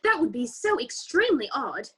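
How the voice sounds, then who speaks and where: A woman's voice: high voice, big pitch swings, moderate pace, breathy edge, heavily acted. A woman voicing a prissy, easily scandalized talking daisy in a children's readers theater play.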